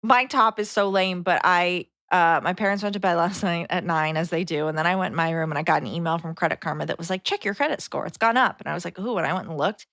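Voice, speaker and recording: A woman's voice: lowish voice, fast, few pauses, a bit bright, dry recording, clean studio sound. A woman co-hosting a casual podcast, telling anecdotes and acting out bits of dialogue as she goes. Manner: animatedly quotes dialogue in anecdotes. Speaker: podcast co-host. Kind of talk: casual chat, anecdotal storytelling.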